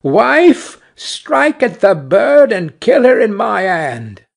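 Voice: medium pitch, very animated, slow, creaky, voice pushed up, exaggerated voice. A man reading aloud and voicing a cruel, hot-tempered carter who cries out in rage and self-pity in a storybook tale. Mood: furious. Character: furious, cruel male carter.

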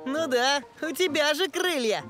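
A woman's voice: sits high, lively intonation, unhurried, fairly bright tone. A woman voicing a boastful, hearty young triceratops robot in a children's cartoon dub. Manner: boastful, emphatic. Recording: music behind the voice.

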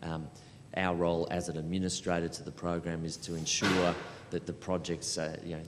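A middle-aged man explaining things as a panelist in a discussion, speaking into a handheld microphone. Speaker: panelist, programme administrator. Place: large hall panel event with audience. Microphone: handheld microphone through hall. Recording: echoey hall, low rumbling noise.

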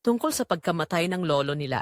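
A woman's voice: on the low side, lively intonation, fast, no room sound, studio quality, lightly acted. A woman playing a guilt-ridden young woman who confesses a forbidden love in an emotive, dramatic audio drama narration. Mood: wistful.

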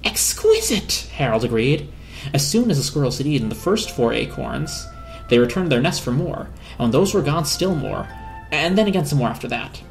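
Woman reading a storybook dramatically, really low voice, lively pitch, faint music behind. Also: fairly quick, creaky. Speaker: narrator.

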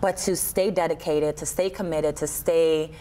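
A woman's voice: deep voice, fairly even intonation, normal speed, mellow, rough recording. A woman around thirty, a performer being interviewed as a guest, talking casually and informally in a TV studio. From Trinidad and Tobago and Buffalo, USA. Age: 30s. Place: TV studio kitchen set, indoors. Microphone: lapel mic.

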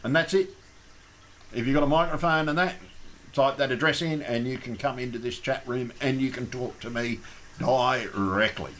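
An Australian man runs his call-in stream in a loose, rambling way, full of ums and restarts. His voice sits at an ordinary middle pitch. A faint noise floor hums under it. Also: moderate pace, fairly bright tone. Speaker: call-in show host. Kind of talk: casual call-in chat.

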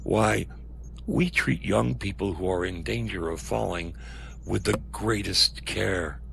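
Man playing a deep, gravelly, preachy official. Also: fairly bright tone, a little hiss or hum, exaggerated voice. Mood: gently menacing. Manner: slow, sermonizing, condescending. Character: menacing paternal male security official with a preacher's cadence. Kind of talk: audio drama acting.

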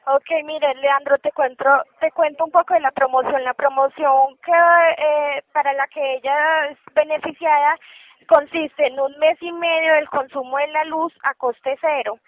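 High-voiced woman doing a patient telemarketing pitch, tinny phone line. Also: fairly even intonation, unhurried, no echo. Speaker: telemarketer selling electricity offers. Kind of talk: sales phone call. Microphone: telephone.

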